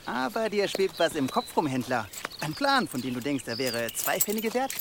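An actor in a German radio drama playing a sly, menacing rogue with a teasing, insinuating manner and false friendliness. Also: male voice, on the high side, big pitch swings, moderate pace, noisy background. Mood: sly probing. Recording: clear outdoor ambience with birds.

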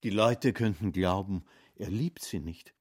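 A man's voice: sits low, unhurried, darkish tone, breathy edge. A man performing dramatic narration and commentary in a literary radio play.

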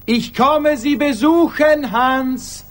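An actor playing a nervous, polite, hesitant office clerk in a German radio play. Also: male voice, very high-pitched, takes it slowly, a bit bright, exaggerated voice. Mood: eager, calling.

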